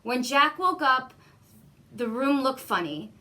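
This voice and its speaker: Woman reading a kids story, slow and careful, highish voice, really expressive. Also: a little hiss or hum. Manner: deliberate repetition and emphasis for young listeners. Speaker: guest story reader.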